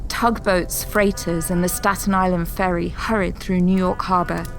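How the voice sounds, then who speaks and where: Lowish-voiced woman narrating a drama. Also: steady tone, gravelly, soft background music, rough recording. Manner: measured, literary storytelling.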